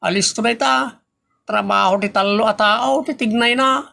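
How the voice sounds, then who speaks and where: A man's voice: sits high, moderate pace, creaky, bright, forceful tone, full character voice. A man with an Ilocano accent playing a bossy boss who scolds workers in a comedy audio drama. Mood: annoyed. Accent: Ilocano, northern Philippines. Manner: scolding, emphatic. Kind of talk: comedy radio drama dialogue.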